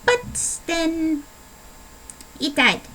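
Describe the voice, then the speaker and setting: A woman's voice: very high voice, big pitch swings, drawn-out words, sharp tone, a little hiss or hum. A young adult woman vlogging in a put-on Italian accent, rambling playfully with a self-deprecating manner. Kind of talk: casual rambling video diary.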